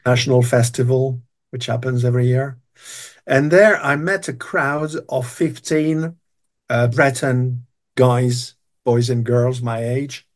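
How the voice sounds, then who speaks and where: A man's voice: mid-range voice, steady tone, slow. Older man telling stories. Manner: rambling, hesitant, many restarts and fillers. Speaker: guest. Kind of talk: storytelling interview.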